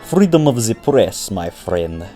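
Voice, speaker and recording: A man's voice: on the low side, very animated, takes it slowly, mellow. Pushy, swooping-voiced reporter played by a man. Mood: pushy, needling. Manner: brash, needling. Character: pushy male school newspaper reporter. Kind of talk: podcast audio drama acting. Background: music.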